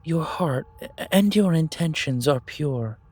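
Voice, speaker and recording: Man playing a husky, solemn mystical sage. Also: a little high, animated, a little mellow. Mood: solemn, reverent. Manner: measured, formal, portentous. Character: solemn, mystical male keeper of ancient magic. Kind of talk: fantasy audio roleplay acting.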